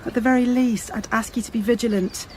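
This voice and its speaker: An actress in a radio drama playing a composed police officer, speaking in a measured, formal, careful manner. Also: female voice, on the high side, steady tone, darkish tone, slight background noise, lightly acted. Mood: cautioning. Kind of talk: naturalistic radio drama acting.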